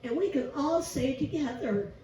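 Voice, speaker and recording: A woman with a squeaky, raspy voice gives a chatty sermon in church and asks the congregation questions. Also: moderate pace, dark tone, distant mic. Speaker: lay preacher. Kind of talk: informal sermon. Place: church sanctuary. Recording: echoey church from afar, faint hiss.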